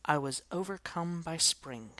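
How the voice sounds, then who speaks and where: A woman voicing a lively, prim schoolteacher who speaks earnestly and a little dramatically. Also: low voice, a little slow, no room sound. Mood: apologetic. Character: lively, gently indignant female schoolteacher.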